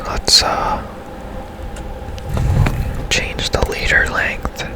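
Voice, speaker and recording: A man whispering ASMR commentary as he plays a game, hushed and unhurried, musing aloud as he goes. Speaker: gaming ASMR host.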